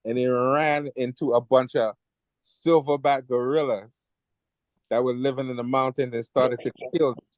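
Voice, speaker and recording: A man from the United States in casual group chat, telling stories in an animated way. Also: mid-range voice, moderate pace, no room sound, rough recording. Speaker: panelist.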